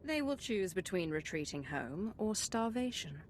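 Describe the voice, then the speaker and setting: Actress as a cocky warrior woman, medium pitch. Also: big pitch swings, moderate pace, slight background noise, lightly acted. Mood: cocky, confident. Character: cocky, confident female warrior noble. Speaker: video game player character. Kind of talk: scripted game dialogue.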